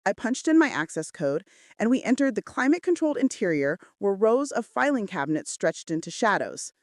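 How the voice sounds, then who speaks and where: Woman narrating fiction with lively, swooping intonation. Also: mid-range voice, fairly quick, no room sound, clean studio sound. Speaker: audiobook-style narrator. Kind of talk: dramatic scripted first-person romance narration.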